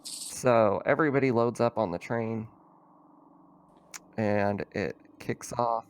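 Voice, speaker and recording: A man's voice: fairly low pitch, takes it slowly, a little hiss or hum. A man narrating a tabletop roleplaying game as game master, explaining scenes in a casual, hesitant way.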